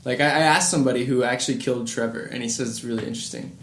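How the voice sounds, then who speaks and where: A man's voice: medium pitch, steady tone, racing. A man co-hosting a casual gaming podcast, recorded with room echo and other voices behind him. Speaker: podcast co-host. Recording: roomy echo, chatter behind voice.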